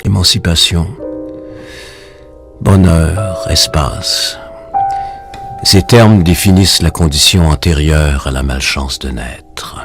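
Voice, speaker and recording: A man narrating a short film in a slow, measured, grave way as a solemn man musing on nonexistence. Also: rumbling low, exaggerated voice. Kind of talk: scripted philosophical film narration. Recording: narration laid over music.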